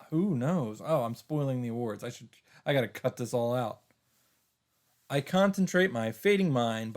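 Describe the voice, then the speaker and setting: A man reading visual novel narration aloud as a let's player, dropping in casual, wry asides. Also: medium pitch. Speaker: video game let's player. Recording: music behind the voice.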